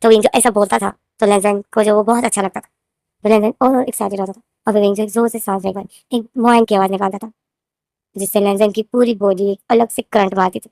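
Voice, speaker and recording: A woman's voice: mid-range voice, fairly even intonation, fast, darkish tone, dry recording, clean studio sound. A woman with a sore throat casually retelling her own fanfiction story, rambling and repeating herself as she talks to her viewers. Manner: rambling, repeats names and phrases, addresses viewers as guys. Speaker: fanfiction author and narrator.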